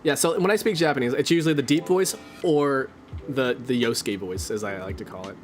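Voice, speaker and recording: A man's voice: medium pitch, a little fast, faint music behind. A man from Canada with a General American accent, chatting casually on a stream and rambling with lots of "like". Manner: rambling, heavy use of "like".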